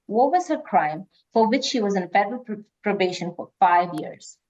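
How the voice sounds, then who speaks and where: A woman's voice: mid-range voice, lively intonation, moderate pace, darkish tone. A woman hosting a quiz, reading questions aloud and moderating informally. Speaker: quiz host. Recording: dull lo-fi online call audio.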